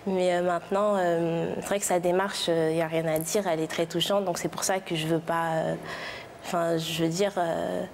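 A woman's voice: lowish voice, flat delivery, normal speed, a little mellow, slight background noise. A woman in her twenties answering carefully and hesitantly in a TV studio, full of fillers. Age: 20s. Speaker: guest. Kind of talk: TV talk show reply. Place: large TV studio with audience. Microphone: lapel mic.

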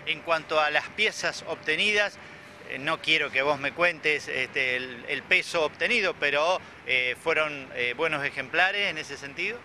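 A man, a local TV reporter asking hesitant, measured interview questions outdoors. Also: fairly high pitch, bright, forceful tone, slight background noise. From Argentina.

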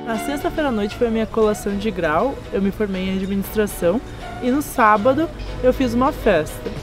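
A young woman in her twenties from southern Brazil with a southern Brazilian accent, casually narrating a road trip, a little hoarse. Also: mid-range voice, fairly quick, music in the background. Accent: southern Brazilian Portuguese. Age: 20s. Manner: friendly, relaxed storytelling with light laughs. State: slightly hoarse after a party. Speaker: travel vlogger. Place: outdoors, garden and hilltop trails.

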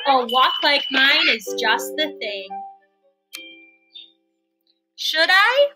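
A young woman giving an expressive, sing-song read-aloud of a children's storybook, indoors at a computer. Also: high voice, measured pace, over music. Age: young adult. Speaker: storybook reader.

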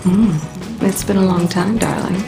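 A woman's voice: medium pitch, fairly even intonation, moderate pace, vocal fry, lightly acted. A woman voicing Death as a solemn, seductive, languid lover in a dramatic video game. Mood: tender, sultry. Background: game music.

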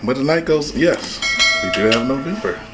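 A man in his thirties with a hoarse voice, vlogging casually to camera on a cruise, apologetic and rueful. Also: mid-range voice, expressive, moderate pace. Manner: apologetic, rueful, then joking. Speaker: travel vlogger. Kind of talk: casual vlog chat. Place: cruise ship restaurant and corridors. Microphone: handheld camera at arm's length. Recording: noisy crowd and loud music behind.

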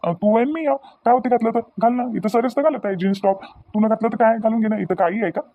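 A man's voice: high voice, quick pace, phone-quality sound. A man voicing a young newlywed husband in a cartoon, doting and a little impatient, coaxing insistently in a Varhadi Marathi accent from Vidarbha. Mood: impatient reassurance. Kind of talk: cartoon dialogue acting in colloquial dialect.

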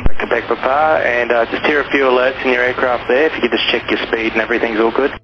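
A man working as an air traffic controller, giving measured, procedural instructions over aviation radio. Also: medium pitch, fairly even intonation. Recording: muffled lo-fi aviation radio, music behind.

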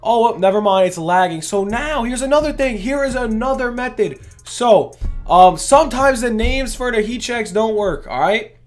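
A young man with a voice going hoarse, hosting a casual gaming video in hyped, rambling talk full of "literally" and "bro". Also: very high-pitched, expressive, normal speed, soft background music. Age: young adult. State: losing his voice. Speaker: gaming channel host. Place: indoors at a gaming setup, face cam.

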